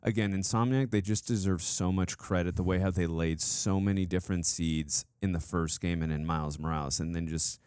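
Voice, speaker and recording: A man with a very deep, smooth voice talks as a reaction show host. His delivery is casual, effusive and emphatic, like an excited fan giving a review. The sound is close and dry. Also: brisk, hardly any pauses, no echo. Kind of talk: casual enthusiastic review chat.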